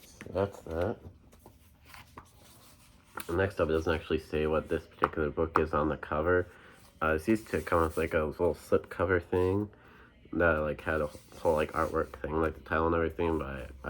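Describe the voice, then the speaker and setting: A man's voice: rumbling low, steady tone, normal speed. A young man chatting casually on his vlog about his book collection, rambling with lots of ums and restarts while getting over a cold with a stuffy nose. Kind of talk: casual chat.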